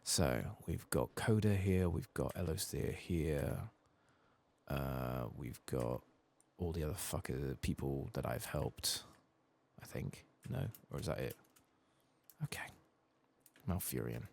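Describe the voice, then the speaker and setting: A man softly narrating a video game playthrough in ASMR style, with dry, sweary asides. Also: a bass voice, slow pace, gravelly. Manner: dry, sweary asides, reads quest text aloud. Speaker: ASMR gaming streamer.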